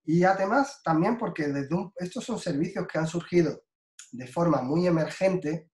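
Man lecturing slowly over a video call. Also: fairly high pitch, darkish tone, reverberant. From Spain. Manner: slow and deliberate, many fillers and restarts. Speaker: guest lecturer.

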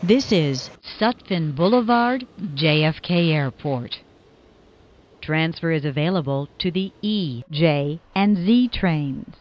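A woman delivers scripted transit announcements in a slightly low voice. Her delivery is slow and formal, with lively rises and falls between stitched phrases. The recording is dull and lo-fi. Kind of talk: scripted train station announcements.

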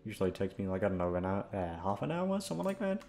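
A man's voice: lowish voice, very animated, very fast, gravelly, a little mellow. A young man chatting off the cuff to his listeners, rambling and hesitant, with casual swearing. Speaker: ASMR audio creator, voice actor.

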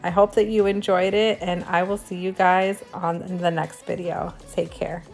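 A woman's voice: lowish voice, level tone, normal speed. Chatty woman narrating a cleaning vlog. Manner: chatty, upbeat product talk with fillers. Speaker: vlogger, stay-at-home mom. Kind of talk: clean-with-me voice-over. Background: faint background music.

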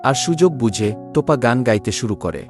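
A man's voice: mid-range voice, sing-song, fast talker, over music. A man narrating a scripted children's story.

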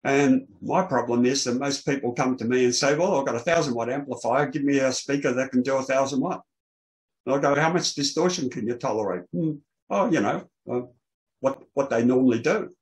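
An older man presenting technical material on a video call, explaining in a halting way. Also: mid-range voice, poor audio. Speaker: presenter, loudspeaker engineer. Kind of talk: technical presentation to an online meeting. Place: small indoor room, online video call. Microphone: video call microphone.

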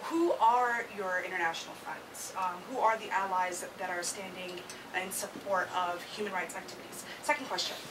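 A woman in the audience asking a panel questions in a loud voice in a conference room. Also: lowish voice, distant mic. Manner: loud, quick asides. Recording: off-mic, clear room noise.

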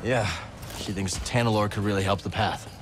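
An actor playing an earnest, determined young Jedi hero in naturalistic video game cutscenes. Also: male voice, on the low side, fairly even intonation, moderate pace, noisy background, lightly acted.

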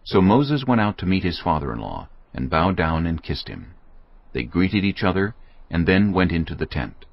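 A man narrating scripture in a measured, expressive reading. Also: sits low, no echo, dull audio.

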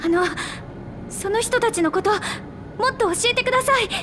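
A woman's voice: extremely high pitch, moderate pace, background noise. A woman voicing a tiny, childlike halfling researcher in a video game, earnest and polite. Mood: earnest, pleading.

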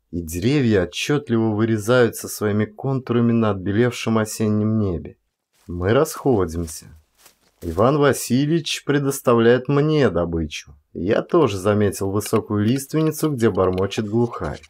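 A man, an actor, reads a first-person story as an expressive audiobook performance, with varied delivery and deliberate pauses. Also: lowish voice.

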